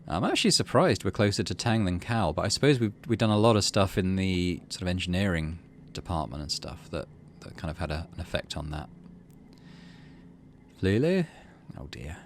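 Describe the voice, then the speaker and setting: A man's voice: deep voice, expressive, normal speed, a little hiss or hum. A man hosting a gaming video, narrating and musing in a casual, conversational way. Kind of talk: casual playthrough commentary and narration.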